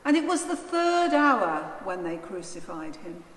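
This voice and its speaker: Slow, expressive woman reading scripture. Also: medium pitch. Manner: measured, deliberate. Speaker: scripture reader, narrator. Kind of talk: solemn dramatised Gospel narration. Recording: echoey church hall, faint noise.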